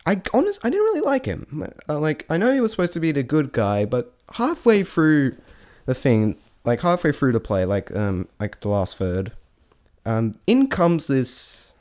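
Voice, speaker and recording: Halting, rambling man reviewing casually. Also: a little high, sing-song, a little mellow. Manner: halting, rambling, often trailing off and restarting. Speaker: vlogger reviewing a stage show.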